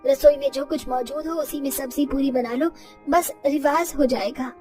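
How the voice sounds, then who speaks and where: A poor, kindly and anxious village mother-in-law from a cartoon moral tale , acted by a woman. Her voice is high, set a little back from the mic, with faint music behind it. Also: fairly even intonation, moderate pace, distant mic, natural voice. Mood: worried, flustered. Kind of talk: moral-story cartoon dialogue.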